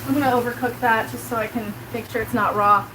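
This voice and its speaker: A chatty woman in her forties with a South Kansas accent, talking to her stream as she cooks. Her voice sits a little high, and she rambles loosely, filling her sentences with "like." A pan sizzles clearly behind her. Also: fairly even intonation, fast talker, background noise. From Colorado. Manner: chatty, rambling, heavy use of "like", answers chat aloud. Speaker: livestreamer. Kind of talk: casual live-stream chat while cooking. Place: home kitchen.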